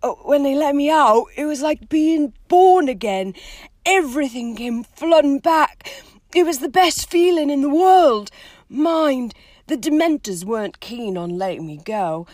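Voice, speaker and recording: A woman voicing a big, rough-spoken, soft-hearted and despairing gamekeeper man in an audiobook, with drawn-out, emotional speech. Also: sits high, animated, no echo, pitched above the performer's own voice. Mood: haunted, emotional. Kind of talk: audiobook character voice.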